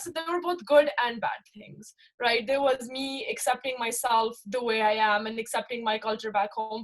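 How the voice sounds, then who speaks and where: A young woman from Pakistan speaks with a gentle Pakistani accent and a voice that sits a bit high. She talks in a casual, reflective way, full of fillers and searching pauses, often checking in with a quick "right?". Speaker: interviewee. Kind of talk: casual personal account.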